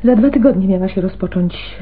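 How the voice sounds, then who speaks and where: A woman's voice: medium pitch, fairly quick, natural voice. An actress playing a cool, unhappily married widow and mother in a radio crime drama. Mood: cool, frank. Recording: muffled, band-limited radio recording.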